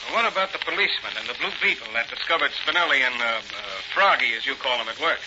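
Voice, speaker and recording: An actor playing a nervous, questioning gangster in a 1940s radio crime drama. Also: male voice, medium pitch, lively intonation, fast talker, lightly acted. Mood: wary, uneasy. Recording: muffled, hissy old radio recording.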